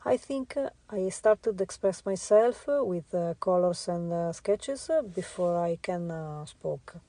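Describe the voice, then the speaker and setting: A woman with an Italian accent, a visual artist answering interview questions in a halting way with many filler sounds. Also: fairly low pitch, expressive, dark tone, dry recording. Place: radio studio.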